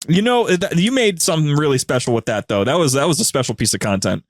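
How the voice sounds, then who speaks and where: A man's voice: fairly high pitch, expressive, quick pace, dry recording, studio quality. A man chatting casually as a podcast guest, informal and full of slang. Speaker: podcast guest, audio drama producer. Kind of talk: casual chat.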